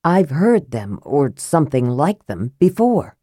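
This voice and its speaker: A woman's voice: deep voice, very animated, a little slow, warm tone, husky, no room sound, clean studio sound, voice pushed down, heavily acted. A woman voicing a hearty, plain-spoken male warrior in an audiobook, blunt and urgent. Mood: uneasy. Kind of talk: audiobook character acting.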